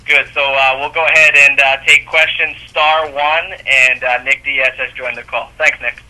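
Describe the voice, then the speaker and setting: A man's voice: mid-range voice, little pitch movement, moderate pace, sharp tone, low-quality recording. A man moderating a press conference call by telephone, making semi-formal announcements.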